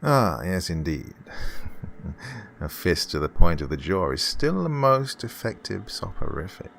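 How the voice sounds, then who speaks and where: A man's voice: sits low, big pitch swings, slow pace, vocal fry, fairly bright tone, heavily acted. A man acting a suave, gloating murderous employer in a horror radio play, with oily politeness and fussy, menacing repetition. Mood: triumphant, sinister. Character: suave, gloating male employer who murders his housekeeper.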